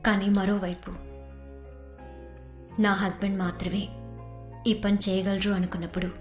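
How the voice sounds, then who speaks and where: Casting: actress as devoted young wife, earnest film-drama delivery, medium pitch, roomy sound. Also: female voice, little pitch movement, normal speed, soft background music, close to an everyday voice. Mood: earnest, worried. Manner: earnest, tender. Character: devoted, anxious, proud young wife of an agent. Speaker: film actor. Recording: echoey film audio, soft background score.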